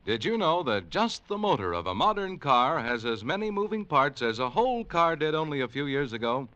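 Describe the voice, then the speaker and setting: A man's voice: on the high side, very animated, a little fast, bright, forceful tone, highs cut off, slight background noise. A man reading commercials and credits as a 1940s radio announcer, polished and upbeat in the old declaiming style.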